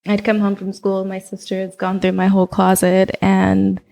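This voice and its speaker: Gravelly low-voiced woman, chatty guest. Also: normal speed. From Oakland, California. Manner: relaxed, chatty, with frequent fillers. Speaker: podcast guest. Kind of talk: casual interview chat.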